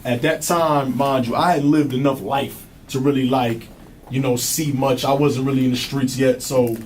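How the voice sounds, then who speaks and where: A young man from New with a strong, deep voice, talking as a rapper guest in laid-back street slang. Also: steady tone, moderate pace. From New Jersey. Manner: laid-back street slang, keeps saying "you know what I'm saying". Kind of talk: casual interview chat. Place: radio studio. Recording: distant mic with faint hiss.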